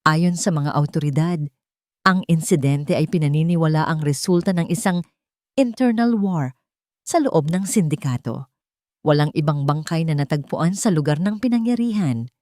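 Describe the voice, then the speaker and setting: A woman storyteller delivers scripted, dramatic narration in a somewhat low voice. The recording is dry and close, with very little room sound. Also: lively intonation, moderate pace, darkish tone, no echo.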